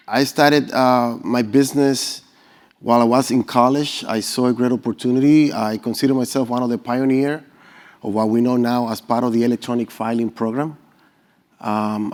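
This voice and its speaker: A man's voice: medium pitch, a little mellow. A middle-aged man from the Dominican Republic, a native Spanish speaker with a Dominican accent, telling his own story as a panelist. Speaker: panelist, business founder. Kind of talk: unscripted personal story to an audience.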